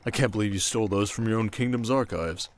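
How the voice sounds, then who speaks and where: A man playing a shrewd, confident king in an ASMR roleplay drama, speaking in a measured, wry and protective way. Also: lowish voice, a little hiss or hum, lightly acted. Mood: teasing, smug.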